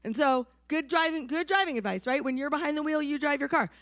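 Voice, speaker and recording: A hoarse woman from New Hampshire preaching a sermon to her church in a conversational way, often checking in with the congregation. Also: high-pitched, quick pace, a bit bright, no room sound, clean studio sound. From New Hampshire, USA. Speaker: pastor.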